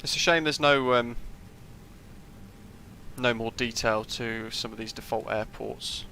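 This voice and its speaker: A man's voice: mid-range voice, unhurried, vocal fry, sharp tone, a little hiss or hum. A young British man casually commenting on a live flight sim stream, rambling with lots of fillers and answering chat.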